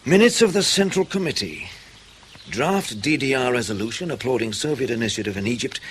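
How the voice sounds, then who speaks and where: An actor playing a wry British intelligence officer in a radio drama, questioning in a measured, pressing way with dry understatement. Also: male voice, mid-range voice, animated, close to an everyday voice. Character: probing, wry British male intelligence officer debriefing an agent. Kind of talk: naturalistic radio drama acting. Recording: clear ambient noise behind the voice.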